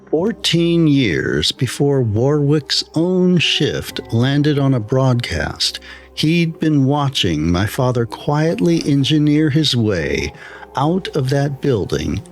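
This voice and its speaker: A man narrating a scripted first-person horror story as a storyteller. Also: mid-range voice. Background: music.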